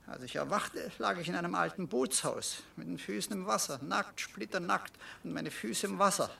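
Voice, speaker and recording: A meek, anxious man in a radio play, with a creaky edge to his voice. He speaks hesitantly and deferentially, a little away from the microphone. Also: sits high, normal speed, bright, forceful tone, far from the mic, a little hiss or hum, exaggerated voice. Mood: anxious, haunted. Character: meek, anxious stepbrother of two elderly teacher sisters. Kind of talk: German radio drama acting.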